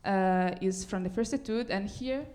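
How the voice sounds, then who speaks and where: A woman's voice: medium pitch, normal speed, warm tone. A woman composer explaining her work in a live lecture-recital, with hesitant, piecemeal phrasing. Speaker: composer presenting own work.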